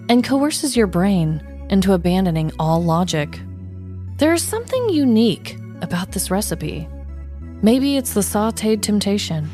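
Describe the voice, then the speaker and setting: A woman's voice: mid-range voice, a little mellow. A woman co-hosting a podcast, chatting and telling stories in a playful way. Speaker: podcast co-host. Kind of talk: casual chat and storytelling.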